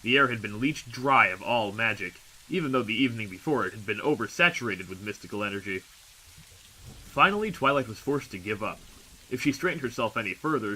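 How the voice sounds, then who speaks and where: A man reading a scripted story as a narrator, with expressive, dramatic delivery. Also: lowish voice, a little hiss or hum, poor audio. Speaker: audiobook narrator.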